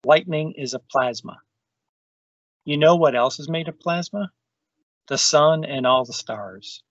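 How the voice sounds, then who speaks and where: Man, slightly high voice, children's book read-aloud, dry sound, lo-fi video call. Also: unhurried, a bit bright, no echo. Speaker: storytime reader. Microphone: video call microphone. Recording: dull, lo-fi video call audio.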